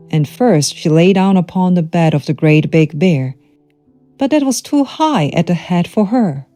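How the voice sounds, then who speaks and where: A woman storyteller reading a children's storybook aloud in an expressive, measured way, from a script. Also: fairly low pitch.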